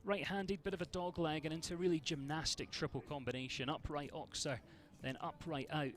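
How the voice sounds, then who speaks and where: A man's voice: fairly high pitch, steady tone, a little fast, slight background noise. A man from Scotland with a Scottish accent, giving chatty live commentary on a show jumping event and greeting viewers by name.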